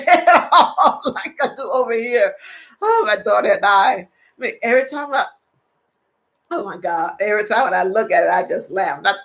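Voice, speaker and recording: Gravelly older woman hosting a chatty livestream. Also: mid-range voice, a bit bright. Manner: chatty, laughs often, repeats phrases. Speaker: livestream host. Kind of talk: casual live chat reading viewer comments.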